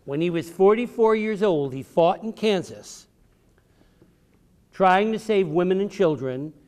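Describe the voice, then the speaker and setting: A man's voice: sits high, animated, measured pace, a little mellow. An American man, an author, giving an encouraging, theatrical storytelling talk to children in simple, emphatic phrases. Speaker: author and speaker. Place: public library event before children.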